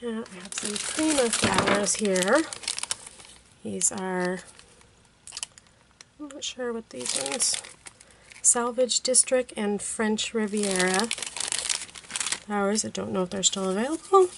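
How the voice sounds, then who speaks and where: A woman hosting a card-making tutorial, hoarse from a cold, talking in a chatty way with unsure asides. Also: medium pitch, expressive, slow pace, pauses often, noisy background. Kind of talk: casual craft tutorial narration.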